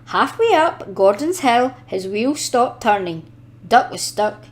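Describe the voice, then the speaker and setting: Female storybook narrator for young children, higher voice, animated melody, deliberate delivery. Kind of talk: expressive scripted storybook narration.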